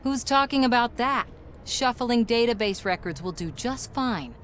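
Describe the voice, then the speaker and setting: A woman's voice: fairly high pitch, expressive, unhurried, fairly bright tone, a little hiss or hum. An actress playing a wry, determined woman in a video game, talking in a casual, coaxing way. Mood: wry, persuasive.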